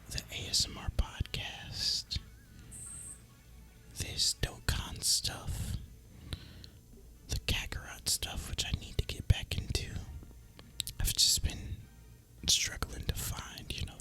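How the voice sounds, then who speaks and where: A man speaking in a breathy, unvoiced whisper, rambling casually and in a relaxed way as he hosts an ASMR gaming video. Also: slow pace, rough recording.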